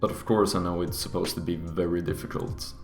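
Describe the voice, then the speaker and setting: A man's voice: low voice, steady tone, brisk, reverberant, soft background music. A young man with a Swedish accent, a native Swedish speaker, giving casual, joking tier-list commentary with teasing, mock-harsh asides. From Sweden. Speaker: YouTuber.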